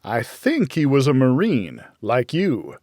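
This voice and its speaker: A man's voice: medium pitch, wildly swooping pitch, slow pace, darkish tone, dry recording, studio quality. A man voicing a blunt, needling, worried older friend in a noir audiobook. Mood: informative.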